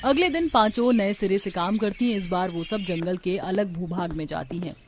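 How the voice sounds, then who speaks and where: A woman's voice: medium pitch, moderate pace, a little hiss or hum. A woman reading scripted narration for a cartoon village family story.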